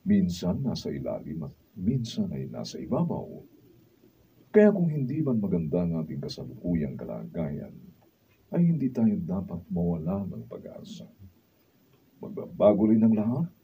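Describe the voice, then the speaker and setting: A Filipino man narrates a radio drama's moral. His voice is fairly low with dramatic swings in pitch. The sound is thin and phone-like, with faint noise. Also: sing-song, normal speed, gravelly, mellow. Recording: phone-like, noisy recording from slight distance.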